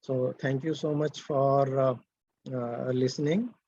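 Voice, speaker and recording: A man gives an online academic presentation, speaking haltingly with many fillers and restarts. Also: medium pitch, fairly even intonation, warm tone, dry recording. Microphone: video call. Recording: dull, dry video call audio.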